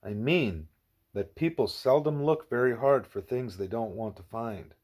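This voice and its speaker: A man reading the part of a wise, patient teacher in a novel, speaking deliberately and didactically. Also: medium pitch, a little mellow, lightly acted. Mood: patient, instructive. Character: wise, patient teacher leading a pupil by questions.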